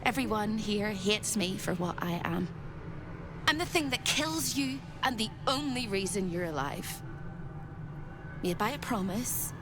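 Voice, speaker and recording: A woman's voice: mid-range voice, lively intonation, unhurried, lightly acted. An actress voicing a tormented, half-mad female spirit in a video game, fragile and eerie, speaking in theatrical riddles. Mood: mournful. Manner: theatrical riddles, shifting between hushed pleading and singsong. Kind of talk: video game character acting. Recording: loud game music behind the voice.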